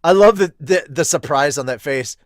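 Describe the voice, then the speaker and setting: A man hosting a manga review, giving casual read-along commentary with amused, excitable asides. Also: fairly high pitch, normal speed, dry recording, clean studio sound. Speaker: host of a manga review podcast.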